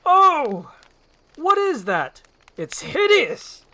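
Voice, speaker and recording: A woman's voice: sits high, wildly swooping pitch, very slow, sharp tone, voice pushed up, exaggerated voice. A woman voicing a pompous, scheming evil lord who gives commanding speeches in a storybook roleplay. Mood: disgusted, commanding.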